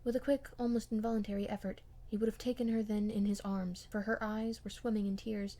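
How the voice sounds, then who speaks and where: A woman narrates a classic novel as an amateur audiobook reader. Her voice sits at a middle pitch, and the scripted prose is read with expression. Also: little pitch movement, fairly quick, warm tone.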